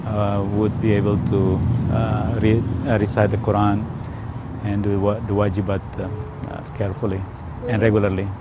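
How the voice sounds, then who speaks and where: A man from Indonesia with an Indonesian accent, telling his personal story in a hesitant, careful way with frequent pauses. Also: fairly low pitch, flat delivery, very noisy. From Indonesia, South Sumatra. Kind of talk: unscripted personal testimony.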